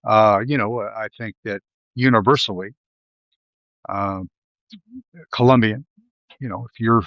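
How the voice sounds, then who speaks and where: Rambling Midwestern man, low voice. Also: steady tone, a little slow, vocal fry, no echo, muffled recording. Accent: Midwestern American. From Ohio, USA. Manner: digressive, many fillers and restarts. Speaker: live video host. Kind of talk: rambling live commentary. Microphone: live stream mic.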